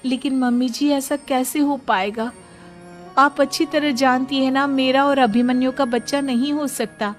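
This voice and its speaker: A woman's voice: high-pitched, steady tone, normal speed, faint music behind, pitched above the performer's own voice, natural voice. A woman voicing a distressed young wife, pleading earnestly in a family story.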